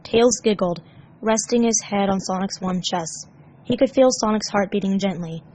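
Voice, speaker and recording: A woman's voice: medium pitch, moderate pace, mellow, low-quality recording. A woman narrating a scripted story as a fan fiction reader.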